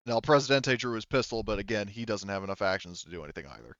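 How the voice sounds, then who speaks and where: Man, tabletop game master, low smooth voice, informal and sweary, thinking aloud, dull lo-fi audio. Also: rapid-fire, a bit bright, dry recording. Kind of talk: casual narration of a tabletop roleplaying game.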